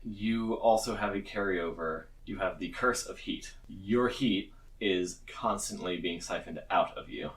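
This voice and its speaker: A man's voice: low-pitched, a little slow. Male game master, distant from the mic. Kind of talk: explaining rules in a casual tabletop game.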